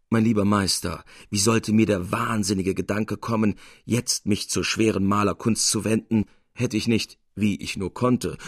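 Audiobook part, read by a man: adoring young male surgeon, earnest and reverent, low gravelly voice, formal period phrasing. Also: no echo, studio quality. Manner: fervent, reverent, formal old-fashioned speech.

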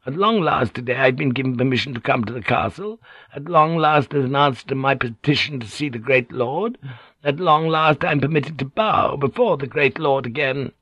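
Man doing a sly, plaintive woman, pitched up. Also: normal speed, a bit bright, breathy edge, no echo, muffled recording. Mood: plaintive, aggrieved. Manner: unctuous, plaintive, insinuating. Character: sly, aggrieved woman, falsely courteous and complaining. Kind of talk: audiobook character dialogue.

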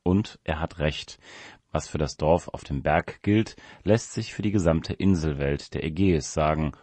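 A man's voice: very deep voice, moderate pace, a little mellow, dry recording. A man reading a written essay aloud as scripted narration.

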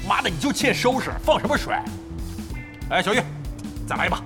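A man playing a brash, foul-mouthed veteran captain of a gaming team in an audio drama, blustering from mutters to shouts. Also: high voice, big pitch swings, brisk, vocal fry, music in the background, exaggerated voice. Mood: cocky. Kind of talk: Chinese radio drama acting.

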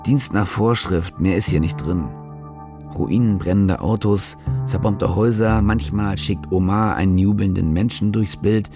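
Gravelly, cynical war reporter. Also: male voice, deep voice. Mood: cynical, weary. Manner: sardonic, clipped phrases. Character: cynical fake war reporter, bitter and self-loathing. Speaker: narrator and lead character. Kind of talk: radio drama monologue.

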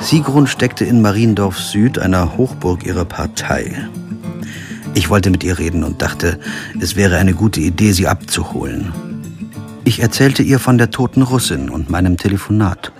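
Low-voiced sober lawyer narrator, music behind. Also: male voice, expressive, moderate pace. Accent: standard German. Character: male lawyer narrating his own crime investigation. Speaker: actor playing narrator and lead. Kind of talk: radio play narration and dialogue.

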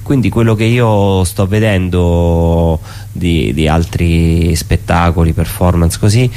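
A man talks as an interviewed theatre performer. His voice is very deep, and he thinks aloud in a reflective, hesitant way, often repeating phrases. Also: steady tone, slow pace, warm tone. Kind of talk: casual reflective conversation.

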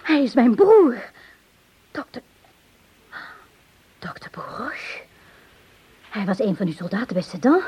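An actress playing a gentle, worried widow who nurses her wounded brother in a radio drama. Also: on the high side, sing-song, a little slow, long pauses, muffled recording. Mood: pleading. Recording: noisy old radio drama recording.

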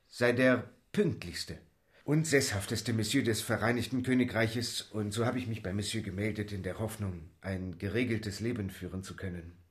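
An actor playing an eager, deferential French manservant in a radio drama, speaking politely and earnestly with a French accent. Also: male voice, lowish voice, close to an everyday voice.

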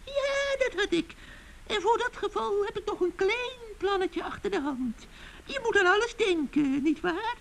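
A woman's voice: very high voice, lively intonation, unhurried, vocal fry, fairly bright tone, full character voice. A woman voicing a gloating, scheming witch in an old children's radio play, cackling and taunting with cooing nicknames.

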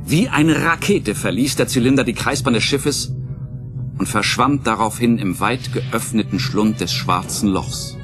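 A man's voice: medium pitch, a little slow. A man narrating a scripted audio drama as the storyteller, in a dramatic storytelling style. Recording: music behind the voice.

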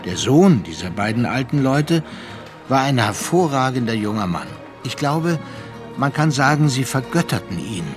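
Male narrator, mid pitch, literary radio play, measured, deliberate pauses, clear music bed. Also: very animated.

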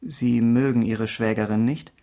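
An actor playing a courteous, persistent investigator in a period radio crime drama, asking clipped, formal questions. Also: male voice, medium pitch, fairly even intonation, unhurried, warm tone, natural voice. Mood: courteous, insistent. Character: formal, persistent male investigator in 17th-century Paris. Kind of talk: German radio drama acting.

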